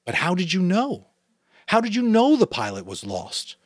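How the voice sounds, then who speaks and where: A man's voice: high voice, huge pitch swings, normal speed, no echo, clean studio sound, voice pushed up, full character voice. A man voicing an earnest young boy who speaks eagerly and turns indignant. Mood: pleading. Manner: eager, pleading, rising to anger.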